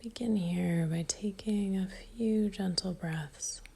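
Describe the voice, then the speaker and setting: A woman leading a guided meditation in a soft, breathy near-whisper, calm and gentle, leaving long pauses. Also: mid-range voice.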